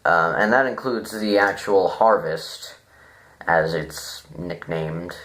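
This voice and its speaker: A man giving a casual, unscripted review, halting and rambling as he thinks aloud. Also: deep voice, a bit bright, rough recording. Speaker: online story reviewer.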